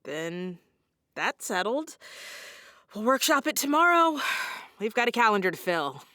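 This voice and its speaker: Brisk bossy station manager, bright voice, very up and down. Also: female voice, medium pitch, very animated, no echo, clean studio sound. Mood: decisive. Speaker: station boss character. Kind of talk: audio drama comedy acting.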